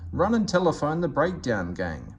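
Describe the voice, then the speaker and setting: A man voicing a brisk, cheerful, encouraging engine driver from a children's storybook. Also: a little high, huge pitch swings, gravelly, voice pushed up, full character voice. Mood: amused, brisk.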